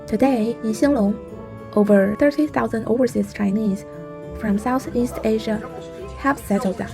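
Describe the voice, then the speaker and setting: Lady doing vlog voiceover, fry in the voice, a bit high. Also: moderate pace, over music. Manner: calm storytelling. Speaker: vlog narrator. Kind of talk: scripted voice-over narration.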